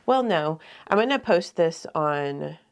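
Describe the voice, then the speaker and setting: Nasally stuffed-up woman chatting through her makeup, low voice with lots of fry. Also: lively intonation, takes it slowly. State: slightly congested, nasal. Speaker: beauty vlogger. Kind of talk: casual get-ready-with-me talk.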